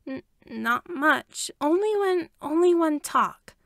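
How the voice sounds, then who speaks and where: A frightened little boy in a story , voiced by a woman. The voice is small, quiet and fairly high. It creeps out very slowly in broken, stammered phrases. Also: lively intonation, no room sound, studio quality, full character voice. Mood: timid, upset.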